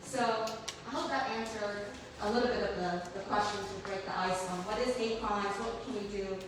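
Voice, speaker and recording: A woman on a panel from a human rights office, explaining to a public audience in an energetic, emphatic way. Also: medium pitch, moderate pace. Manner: energetic and emphatic, says she has a loud voice. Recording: echoey room, mic from a distance, background noise.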